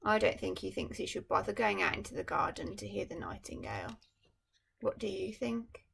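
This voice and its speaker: Gravelly storytelling woman teacher. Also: mid-range voice, moderate pace, slight background noise. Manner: gentle, musing asides to young listeners. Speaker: primary school teacher. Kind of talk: reading a storybook aloud to children.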